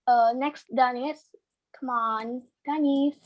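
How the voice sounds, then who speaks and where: Female moderator, Indonesian-accented English, high pitch, slow pacing, informal prompting of participants. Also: steady tone, no echo, highs cut off. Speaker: moderator calling on students. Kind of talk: informal online event moderation.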